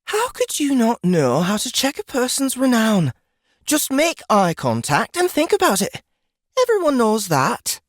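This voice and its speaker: Casting: seasoned woman of the woods played by a narrator, high, animated, mocking and blunt, fantasy audiobook. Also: unhurried, dry recording, clean studio sound. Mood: incredulous. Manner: blunt, amused, exclamatory. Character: brash, mocking, experienced woodswoman of fantasy world.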